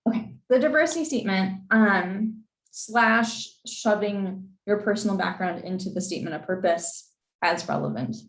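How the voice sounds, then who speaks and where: A woman's voice: mid-range voice, moderate pace, reverberant, low-quality recording. A young woman who is losing her voice, presenting an informal info session in a chatty way with many fillers. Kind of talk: informal advice talk with slides.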